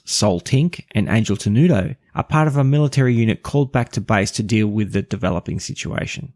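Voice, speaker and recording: A man's voice: mid-range voice, vocal fry, no echo, clean studio sound. A man hosting a podcast, narrating a scripted review. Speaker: podcast host.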